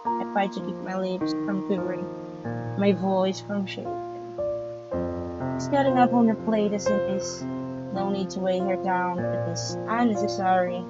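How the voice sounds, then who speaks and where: A woman's voice: medium pitch, fairly even intonation, moderate pace, highs cut off. A woman gamer reading visual novel narration aloud, stumbling now and then and adding asides. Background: loud piano music from the game.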